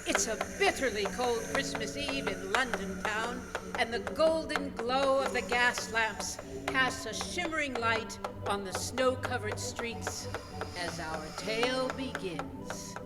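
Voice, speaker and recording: A woman with a fairly high voice narrates a radio play in a theatrical, measured way. Long hall reverb surrounds her, and organ music plays prominently behind. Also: expressive. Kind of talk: old-time radio style scripted narration. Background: live organ music.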